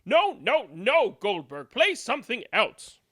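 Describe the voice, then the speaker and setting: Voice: mid-range voice, sing-song, slow pace, a bit bright, no room sound, studio quality, voice pushed up, full character voice. A reader voicing a grumpy, sleepless rich man with a whiny, demanding manner. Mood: impatient.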